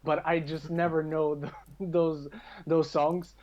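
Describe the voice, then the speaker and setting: Higher-pitched man, dull lo-fi sound. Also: level tone, slow. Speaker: podcast speaker. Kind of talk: casual podcast chat about games and films.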